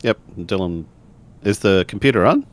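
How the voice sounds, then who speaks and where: Casting: male tabletop player, low pitch, very animated delivery, slipping in and out of character. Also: normal speed. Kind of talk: narrating and acting out a character in a tabletop game.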